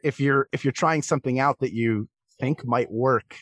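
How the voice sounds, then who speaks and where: A man's voice: mid-range voice, normal speed, fairly bright tone, slightly rough, no room sound. A man giving thoughtful, explanatory answers as a performer guesting in a conversational interview. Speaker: podcast guest, comedic stage and voice performer.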